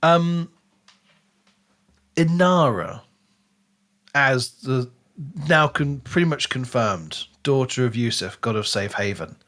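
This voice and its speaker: Guy on a book podcast, casual chat, kinda analytical and emphatic, mid pitch. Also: expressive, slow, long pauses, fairly bright tone. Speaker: podcast host.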